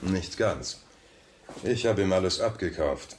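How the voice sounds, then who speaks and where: An actor playing a suave, wealthy banker who talks smoothly and with polite condescension in a radio play. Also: male voice, sits low, animated, measured pace, a little hiss or hum. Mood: coolly controlling. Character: suave, wealthy banker, coolly probing his rival. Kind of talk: German radio drama dialogue.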